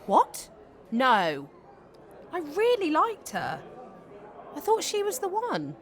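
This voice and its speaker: A woman's voice: high-pitched, huge pitch swings, takes it slowly, a faint crowd, full character voice. A woman playing a successful young British stage actress, a frank, teasing and affectionate friend, in a naturalistic audio drama. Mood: concerned.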